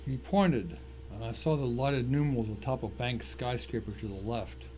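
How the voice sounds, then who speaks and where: A man's voice: medium pitch, moderate pace, warm tone, slight background noise, lightly acted. A man playing a tense, hard-bitten thriller narrator who tells his own ordeal in dramatic scripted first person. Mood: grim.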